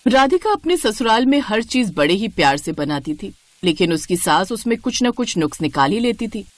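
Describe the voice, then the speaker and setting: A woman's voice: medium pitch, big pitch swings, normal speed, no echo. A woman narrating a scripted cartoon moral story as its storyteller.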